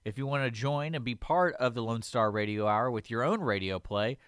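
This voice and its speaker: A male station announcer reads a scripted radio outro. His voice is of medium pitch, and the recording is close and dry, with no room sound. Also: brisk, fairly bright tone, clean tone, no room sound.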